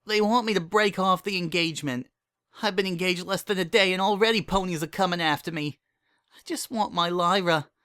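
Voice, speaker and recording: A man's voice: high voice, brisk, bright, forceful tone, smooth, dry recording, clean studio sound, voice pushed up. A man voicing a distraught, angry young female pony candy maker in an emotional, indignant storybook reading. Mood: sobbing, pleading.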